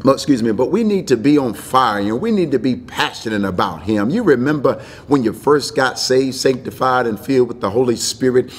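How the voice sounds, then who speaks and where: A man's voice: medium pitch, animated, brisk, hardly any pauses, slightly rough. A middle-aged man preaching a sermon as a pastor, earnest and emphatic, repeating phrases for emphasis. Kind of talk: sermon, Bible teaching.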